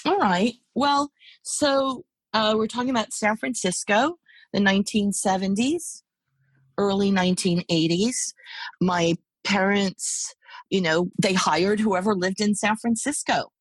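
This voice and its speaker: A middle-aged woman artist, whose first language is Hungarian, talks reflectively in a podcast interview, animated and full of restarts. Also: medium pitch, measured pace, no room sound. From United States. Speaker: guest artist.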